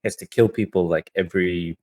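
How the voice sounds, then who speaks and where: A man's voice: sits low, steady tone, brisk, darkish tone. A man co-hosting a podcast in casual, slangy conversation, often circling into his point with filler words. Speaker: podcast co-host. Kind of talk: casual chat.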